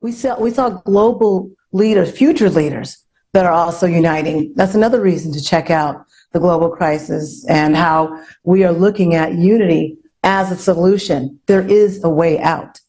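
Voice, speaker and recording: A woman with a fairly low, mellow voice hosts an online panel. She talks in a promotional style, repeating phrases to drive her point home. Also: normal speed. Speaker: host.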